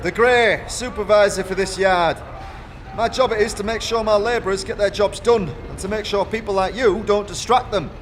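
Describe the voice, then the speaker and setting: A man acts out a gruff, boastful yard foreman for a fantasy audio drama. The voice is pitched high, with rustic grammar and a blustering, self-justifying manner. A faint noise sits behind it. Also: close to an everyday voice. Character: gruff, self-important, rough foundry foreman, defensive and boastful.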